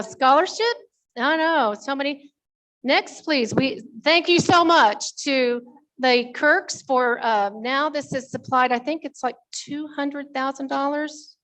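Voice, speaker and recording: High-voiced woman presenting informally. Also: fairly bright tone, poor audio. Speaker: presenter at a members meeting. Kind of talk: informal spoken committee report to an audience.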